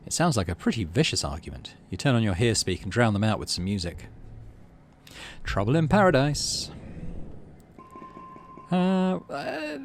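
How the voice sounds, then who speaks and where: A man, middle-aged, hosting a game playthrough, mixing casual commentary with expressive story reading. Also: mid-range voice, normal speed, pauses often, a little hiss or hum. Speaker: let's play host.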